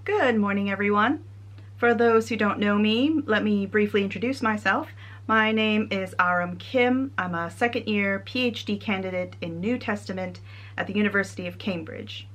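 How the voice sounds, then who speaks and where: A young woman with a Connecticut American accent, a trainee priest giving a measured, earnest sermon. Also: medium pitch, a bit bright. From United States.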